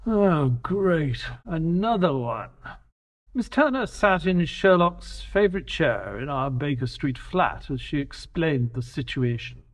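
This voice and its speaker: An actor playing an earnest detective's companion and narrator in a scripted audio drama. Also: male voice, fairly high pitch, lively intonation, slow. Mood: curious, wry.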